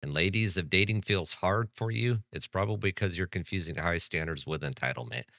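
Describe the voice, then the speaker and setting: Guy with a super deep voice but a sharp bright tone, ranting blunt commentary, dry close sound. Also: brisk, no echo. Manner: blunt, lecturing, sarcastic. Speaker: commentary channel host. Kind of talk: reaction commentary.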